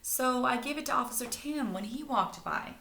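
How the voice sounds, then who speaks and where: A woman's voice: sits high, moderate pace, vocal fry, pushed and bright, roomy sound, voice pushed up, full character voice, poor audio. A woman reading a storybook as a cheerful, chatty young girl. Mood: excitable.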